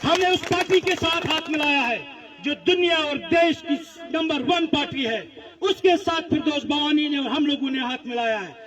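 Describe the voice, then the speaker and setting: A man's voice: very high voice, moderate pace. A man gives a political speech at a public rally, speaking in emphatic, repetitive phrases. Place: public address before a gathering. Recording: dull lo-fi audio, crowd sounds.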